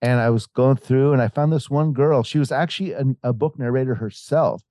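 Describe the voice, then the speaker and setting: A man's voice: medium pitch, a little fast, warm tone, no echo, studio quality. A man, an author as interview guest, tells a long story in casual talk. He rambles with many restarts and acts out quoted voices.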